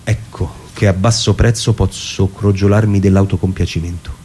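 A man performing a dramatic scripted narration in a theatrical, musing manner, with deliberate pauses. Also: low voice, fairly even intonation, darkish tone, slight background noise. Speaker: performer reading a literary narration. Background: plucked guitar music.

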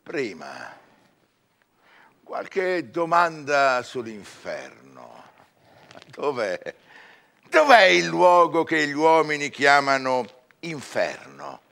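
An actor playing a proud, restless scholar in a theatrical verse radio drama, declamatory and swinging between hushed and loud delivery. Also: male voice, a little high, big pitch swings, extremely slow, creaky, long pauses, full character voice. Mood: probing.

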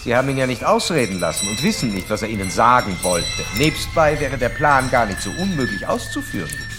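An actor playing an insistent psychoanalyst who lectures a patient in formal, didactic, old-fashioned German radio drama style. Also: male voice, mid-range voice, very animated, fast, over music, natural voice. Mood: lecturing. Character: male psychoanalyst doctor, insistent, certain, lecturing his young patient.

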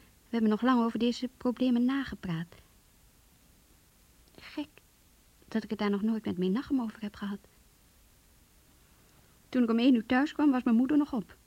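An actress playing a shy, anxious young woman in love, reading her diary in a radio drama in an intimate, hesitant, breathy voice. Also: fairly high pitch, moderate pace, long pauses, no room sound, dull audio, close to an everyday voice. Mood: earnest. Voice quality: husky, breathy, half-whispered.

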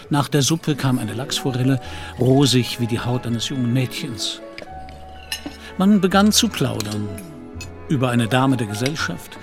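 Male radio-play narrator over music. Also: mid-range voice. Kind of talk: scripted literary narration in German radio play.

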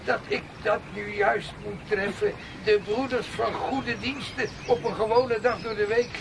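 An actor playing a humble, garrulous, middle-aged peasant woodcutter in a radio drama, plaintive and easily amazed. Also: male voice, sits high, fairly even intonation, unhurried, gravelly. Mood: amazed delight. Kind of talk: Dutch radio drama acting. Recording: noisy radio play with outdoor ambience.

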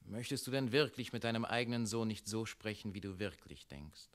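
An actor playing a mayor's son and secretary in a German radio play, measured, coaxing and insistent in his questioning. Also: fairly low pitch, natural voice.

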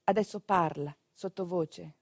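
A woman narrating a stage monologue as an actress, in an expressive, conversational and earthy storytelling manner. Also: lowish voice, a little slow, creaky, husky, no echo, studio quality.

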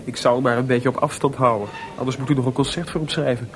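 A man's voice: mid-range voice, steady tone, fast talker, fairly bright tone, lightly acted. A man playing Mozart as an anxious, lovesick composer who hesitates and stammers, in a Dutch radio play. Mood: amused. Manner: hesitant, stammering when unsettled.

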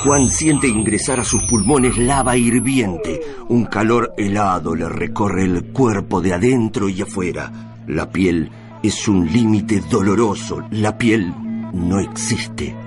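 Deep, dramatic Argentine male narrator. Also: slow, over music. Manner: intense, literary, dramatic phrasing. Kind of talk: dramatic scripted radio narration.

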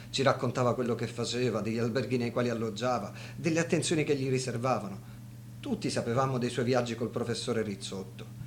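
A man's voice: medium pitch, slight background noise, close to an everyday voice. An actor playing a grave brother recalling his lost sibling in sober, matter-of-fact radio drama testimony.

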